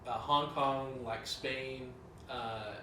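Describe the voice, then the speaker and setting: Man in a relaxed corporate briefing, filler-heavy; distant, roomy recording. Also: mid-range voice, drawn-out words. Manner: casual, many "um" and "you know" fillers. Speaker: company director. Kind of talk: corporate training talk. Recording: roomy, from off-mic, with clear noise.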